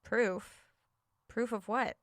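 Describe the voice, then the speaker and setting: An actress playing a tired, uneasy author staying alone in a haunted house, speaking naturally with a guarded, hesitant manner in a horror audio drama. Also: female voice, a little high, fairly even intonation, very slow, fairly bright tone, no room sound, studio quality, full character voice. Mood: incredulous.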